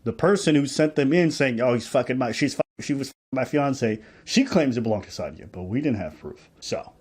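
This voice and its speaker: A man's voice: mid-range voice, animated, fairly quick, darkish tone, breathy edge. A man hosting and commentating on an interview clip, pointed and questioning. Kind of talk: commentary on played clips.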